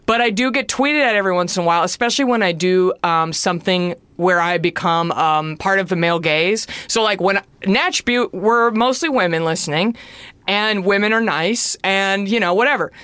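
A woman hosting a podcast in casual chat, with a deep, loud voice and a brash, animated way of talking, swearing freely. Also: clean tone, no room sound. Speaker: podcast host.

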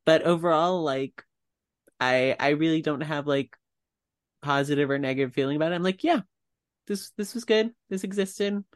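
A man's voice: fairly high pitch, normal speed, clean tone, dry recording, studio quality. A man co-hosting a casual chat in a lighthearted, slightly hesitant way. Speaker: podcast host.

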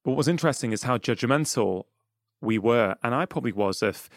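A man's voice: medium pitch, animated, fairly quick, dry recording, clean studio sound. A man from England with a Manchester accent, hosting a podcast interview in a thoughtful, earnest way. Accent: Mancunian English. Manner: thoughtful, earnest, frequent "you know". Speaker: podcast host. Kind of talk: reflective interview conversation.

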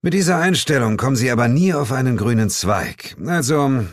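A man's voice: mid-range voice, lively intonation, moderate pace, no echo, studio quality, voice pushed up, close to an everyday voice. A man playing a self-assured, sarcastic male ghost hunter with a cajoling, defensive manner in an audiobook. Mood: cheeky cajoling. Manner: sarcastic, cajoling, growling at times.

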